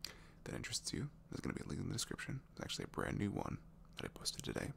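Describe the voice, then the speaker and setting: Low, very creaky man's voice. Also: huge pitch swings, very fast, fairly bright tone. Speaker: audio roleplay creator. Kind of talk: soft casual intro to listeners. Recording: faint background noise.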